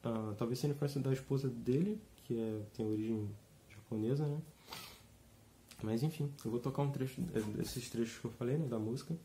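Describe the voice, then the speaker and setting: A young man with a slight cold, giving a relaxed, rambling guitar lesson from a small room. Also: mid-range voice, brisk, a little hiss or hum. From Brazil. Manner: rambling, relaxed, many fillers and restarts. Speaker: guitar teacher. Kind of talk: casual video lesson. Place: small room indoors, seated with an acoustic guitar.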